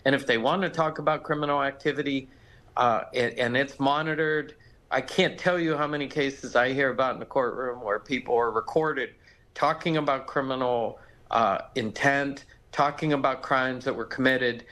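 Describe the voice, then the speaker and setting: An American man speaks as a legal expert in a live news interview. His mid-pitched voice is conversational and explanatory, like a lawyer laying out an argument. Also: steady tone, moderate pace, a bit bright.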